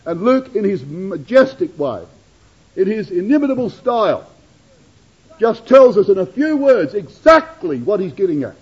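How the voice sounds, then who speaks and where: A man preaching a sermon to his congregation, addressing them as brethren and sisters and swinging his delivery from loud declamation to hushed asides. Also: big pitch swings, moderate pace, breathy edge. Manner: dramatic rises and drops in pitch and loudness. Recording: dull lo-fi sermon recording.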